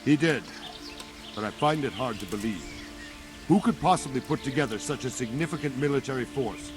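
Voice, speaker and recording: An actor playing a grave, loyal medieval captain, speaking formally in a video game drama. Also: male voice, medium pitch, animated, brisk, close to an everyday voice. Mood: sceptical. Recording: music behind the voice.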